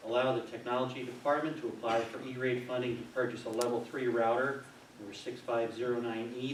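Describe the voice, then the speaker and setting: A man who is a board member reads a formal motion aloud in a meeting, picked up from across the room. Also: medium pitch. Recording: echoey room, distant microphone, faint noise.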